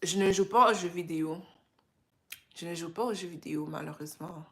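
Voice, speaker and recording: Tired, low-voiced Québécois woman chatting. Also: fairly quick. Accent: Québécois French. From Canada. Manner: relaxed, mixes in English phrases. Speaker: livestream host. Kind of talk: casual livestream chat with viewers.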